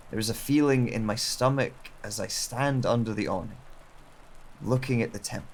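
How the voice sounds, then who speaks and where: A man playing a brooding, reflective wanderer who narrates a solitary journey in a measured, solemn way for an audio drama. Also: medium pitch, a little hiss or hum.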